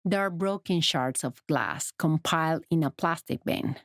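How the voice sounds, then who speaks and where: A woman narrating a documentary report in a calm, descriptive, scripted manner. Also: deep voice, a little slow, no echo. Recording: studio voice-over with music bed.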